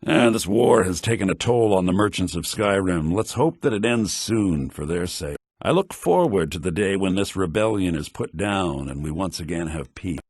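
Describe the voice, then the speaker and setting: An actor playing a pompous, lecherous and scheming wealthy merchant in a fantasy video game, with a raspy voice. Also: male voice, low voice, lively intonation, moderate pace, hardly any pauses, darkish tone, no room sound, studio quality, heavily acted. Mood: gossipy, smug. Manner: pompous, smug, theatrical.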